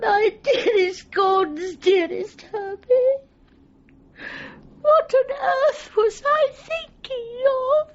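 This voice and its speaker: A woman's voice: extremely high pitch, slow, dull audio, heavily acted. A woman acting a genteel, effusive British dog lover, feeble but defiant, in a radio comedy drama. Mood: tearful, nostalgic. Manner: effusive, melodramatic, genteel turns of phrase.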